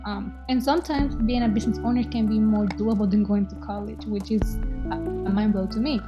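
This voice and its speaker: A young woman in her twenties from El Salvador, speaking as an interview guest in an earnest, reflective way and pausing often with "um". Her voice sits at a middle pitch, and the recording sounds slightly dull. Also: steady tone, music in the background. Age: 20s. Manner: hesitant, many "um"s, earnest and reflective. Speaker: guest, business owner. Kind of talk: casual interview conversation.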